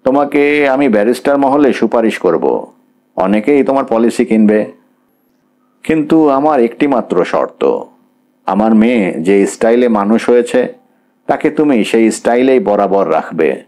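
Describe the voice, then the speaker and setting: A man voicing a pragmatic, class-conscious middle-aged father, measured and businesslike, mixing in English words. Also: mid-range voice, big pitch swings, darkish tone. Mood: approving, firm.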